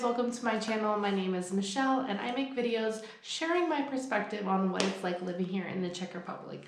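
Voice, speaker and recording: A young woman talking casually to camera in an upbeat, chatty way, explaining things with examples. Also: medium pitch. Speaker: vlogger. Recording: echoey room.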